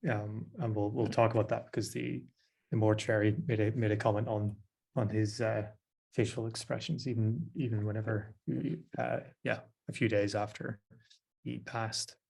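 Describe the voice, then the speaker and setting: A man's voice: on the low side, level tone, normal speed, creaky, a little mellow, husky. A man hosting a podcast, leading the discussion in a hesitant way with many fillers. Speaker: podcast host. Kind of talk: casual discussion.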